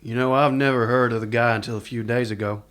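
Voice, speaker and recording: An actor playing a sceptical, cautious bounty hunter who asks plain, wary questions in a radio drama. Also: male voice, mid-range voice, steady tone, fast talker, fairly bright tone, natural voice.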